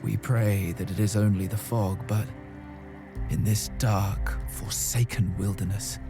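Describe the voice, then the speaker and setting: A man's voice: on the low side, fairly even intonation, unhurried. A man playing a devout, brooding medieval warrior, narrating a quest solemnly in a video game. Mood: grim. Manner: solemn, pious. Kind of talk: dramatic scripted narration in a game. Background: music.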